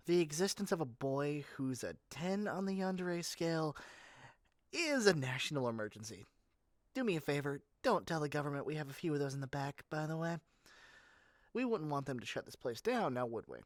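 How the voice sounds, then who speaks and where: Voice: medium pitch, no echo, clean studio sound, lightly acted. A performer playing an eager, chatty salesperson in a scripted roleplay, rambling on and breezily matter-of-fact about menacing things. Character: eager, chatty salesperson matchmaking dangerous obsessive lovers. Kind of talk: scripted ASMR roleplay addressed to the listener.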